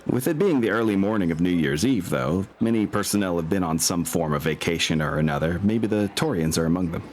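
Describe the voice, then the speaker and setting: A man's voice: low-pitched, animated, a little fast, darkish tone, a little hiss or hum. A man narrating a tabletop role-playing game as game master, in an informal, improvised way.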